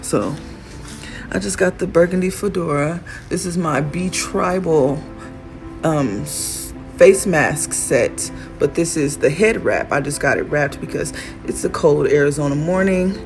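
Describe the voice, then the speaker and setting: Raspy slow-talking woman. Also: low voice. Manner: chatty, admiring, laughs often. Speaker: fashion designer vlogger. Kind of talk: casual show-and-tell vlog. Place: workshop in Arizona. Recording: music playing behind the voice.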